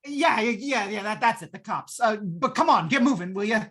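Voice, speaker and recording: A woman playing a frantic, hapless working man in an old-time radio drama, stammering and flustered in a husky voice. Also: mid-range voice, lively intonation, normal speed, fairly bright tone. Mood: frazzled.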